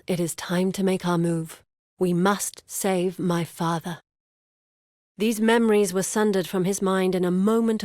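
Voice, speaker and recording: A woman playing a determined, scholarly adventurer and devoted daughter in a video game, speaking earnestly with deliberate, formal diction. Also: medium pitch, darkish tone, no room sound, clean studio sound, lightly acted. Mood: grave, resolute. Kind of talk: scripted video game character dialogue.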